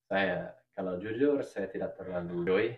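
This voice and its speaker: A man's voice: low-pitched, roomy sound, narrow, tinny audio. A man in his twenties, a native English speaker with a cold, chatting carefully on a podcast as co-host. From Australia. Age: 20s. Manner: careful, deliberate speech as a learner. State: has a cold. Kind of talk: casual podcast chat.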